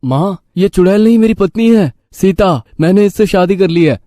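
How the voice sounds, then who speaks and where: An earnest young village father, acted by a man, with a mellow, slightly high voice. He is polite and pleading, quick to fear, and speaks dramatically in a dry storybook recording. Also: expressive, dry recording.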